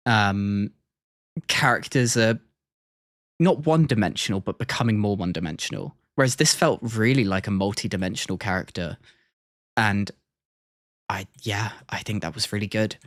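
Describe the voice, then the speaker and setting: A man's voice: on the low side, normal speed, pauses often, fairly bright tone, no room sound, studio quality. A man giving casual livestream reaction commentary, thinking aloud in a hesitant way. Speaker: streamer.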